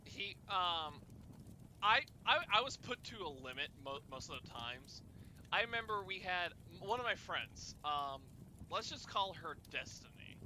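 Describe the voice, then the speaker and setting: A young man telling personal stories casually, in a halting way with repeated words and restarts. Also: sits high, expressive, pushed and bright, slight background noise, poor audio. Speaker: storyteller and host of a group chat.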